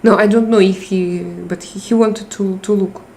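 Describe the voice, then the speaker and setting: A middle-aged woman with a Russian accent chatting casually on a live stream, halting and restarting often as she searches for words. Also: mid-range voice, fairly even intonation, vocal fry, a little mellow, a little hiss or hum. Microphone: tablet microphone.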